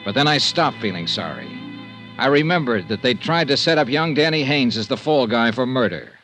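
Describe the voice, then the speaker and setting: Man playing a hard-boiled, animated radio detective. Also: medium pitch, moderate pace, lightly acted. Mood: wry narration. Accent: American. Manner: blunt, hard-boiled, wry. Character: tough, cynical male insurance investigator, radio noir hero. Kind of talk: 1950s radio detective acting and narration. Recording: vintage radio drama recording, faint music.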